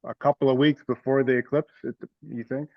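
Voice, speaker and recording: A man of medium pitch leads an informal group discussion as chair. The audio is muffled and lo-fi, with very little room sound, as on a teleconference. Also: steady tone, a little fast, no room sound. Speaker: meeting chair. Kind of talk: casual meeting discussion. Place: remote teleconference call. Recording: dull, dry teleconference audio.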